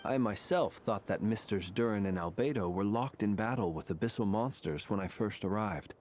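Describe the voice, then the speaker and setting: Gentleman investigator for a game, played by a man: lowish voice, polite, precise, deliberate, faint background noise. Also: natural voice. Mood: calm. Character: courteous, precise gentleman investigator and monster hunter. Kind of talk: video game voice acting.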